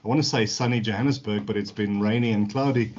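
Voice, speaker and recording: Male panel moderator, fairly low voice, welcoming, dull video-call audio. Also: fairly even intonation, quick pace, darkish tone. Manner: welcoming, introduces each panelist by name.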